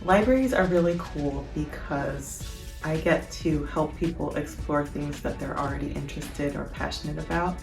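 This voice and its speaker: A woman librarian introducing herself in a relaxed, casual interview clip. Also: low-pitched, moderate pace, vocal fry. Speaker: school librarian. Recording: roomy distant mic with music bed.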